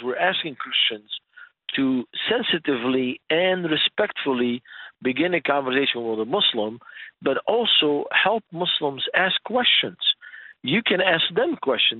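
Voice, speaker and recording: A man's voice: medium pitch, no echo. Non-native English-speaking man on phone-like line. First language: not English. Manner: poses rhetorical questions. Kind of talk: conversational teaching in a radio interview. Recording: narrow, tinny phone-like line.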